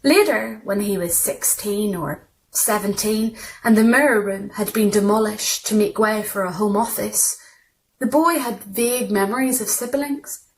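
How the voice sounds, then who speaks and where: A woman's voice: medium pitch, a little slow, a little hiss or hum, rough recording. A woman reads literary short fiction aloud as a storyteller, in scripted narration. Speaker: story reader.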